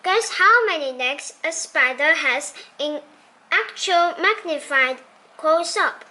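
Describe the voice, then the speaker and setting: A woman with a very high, bright voice reads a children's storybook aloud. She takes her time, placing each phrase deliberately with expressive, childlike storytelling. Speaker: storybook reader.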